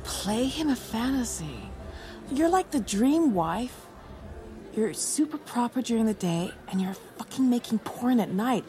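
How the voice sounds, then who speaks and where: A woman's voice: a little high, expressive, unhurried, warm tone. A woman in her thirties acting in an audio drama as a bold, teasing, tipsy confidante who talks bluntly and playfully. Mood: gleeful. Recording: clear music behind the voice.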